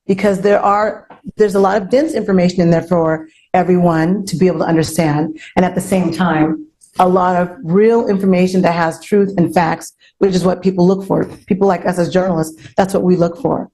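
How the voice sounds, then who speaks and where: A woman's voice: on the low side, steady tone, a little fast, warm tone, rough recording. A woman hosting an online panel, talking promotionally and repeating phrases for emphasis. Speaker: host.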